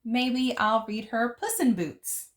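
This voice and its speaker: A woman voicing an exuberant, mischievous second-grade child from a picture book, eager and excitable. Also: fairly high pitch, slow, voice pushed up. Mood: playful.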